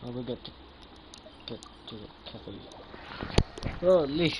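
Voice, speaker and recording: A man streams a game in a casual, slangy way, breaking into exclamations. His pace is slow and his pitch is average. Clear noise sits around his voice. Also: expressive. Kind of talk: casual live-stream chat reacting to a game.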